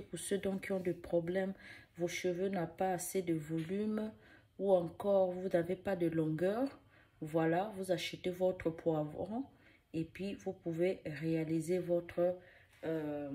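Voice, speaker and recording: Woman vlogger with a cold, low voice, chatty rambling how-to. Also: steady tone, takes it slowly, warm tone. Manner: rambling, repeats herself, many fillers. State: has a cold and cough. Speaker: hair care vlogger. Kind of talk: casual how-to demonstration.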